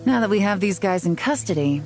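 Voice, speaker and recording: An actress voicing a confident, sharp-witted young woman in a video game, speaking in an assured, lecturing way. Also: medium pitch, expressive, a little fast, faint music behind, natural voice, low-quality recording.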